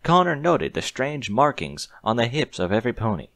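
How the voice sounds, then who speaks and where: A man's voice: medium pitch, big pitch swings, normal speed, fairly bright tone, no echo. A man reading a story aloud in a scripted audiobook narration style.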